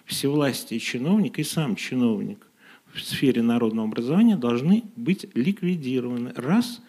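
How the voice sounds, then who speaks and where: A man's voice: mid-range voice, animated, normal speed, warm tone, slightly rough. A man teacher on a discussion panel, stating his views firmly and polemically. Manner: firm, declarative, polemical. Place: gymnasium hall in front of an audience.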